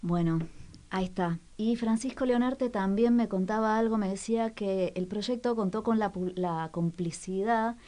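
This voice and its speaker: A woman radio presenter with a Rioplatense Argentine accent, speaking at a middling pitch. She explains things conversationally, often turning to her listeners to check they follow. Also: normal speed, mellow. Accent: Rioplatense Argentine Spanish. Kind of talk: casual explanatory radio chat.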